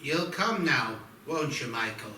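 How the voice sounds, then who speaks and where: Solemn, obsessive horror-film doctor played by a man, sharp bright tone, echoey room with faint noise. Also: medium pitch, expressive, unhurried, distant mic. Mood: grim, resolute. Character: grave, obsessive male doctor, horror screenplay. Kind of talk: scripted dramatic reading.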